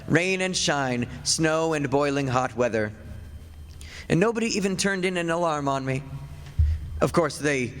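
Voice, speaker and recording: A man's voice: a little high, noisy background, natural voice. An actor playing a very old, henpecked husband of a witch, narrating a radio play in a wry, grumbling, confiding way. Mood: aggrieved, wry. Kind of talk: stage radio play, 1940s-style narration. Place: theatre stage before an audience. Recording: echoey stage hall, music behind.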